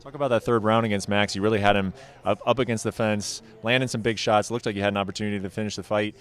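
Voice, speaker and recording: Fast-talking male sports interviewer. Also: on the low side, steady tone, clear, resonant tone. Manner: brisk, direct questions. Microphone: handheld mic.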